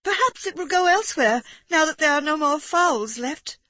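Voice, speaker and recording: A woman reading aloud, voicing an excitable upper-class lady in shrill, breathless exclamations. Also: normal speed, dry recording. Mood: shrill alarm.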